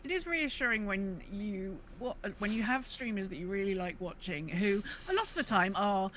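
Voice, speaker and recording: A woman with a bright voice at a middle pitch co-hosts a casual gaming podcast. She is chatty and enthusiastic, with some background noise on her recording. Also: lively intonation, moderate pace. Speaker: podcast co-host. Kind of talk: casual chat about online gaming.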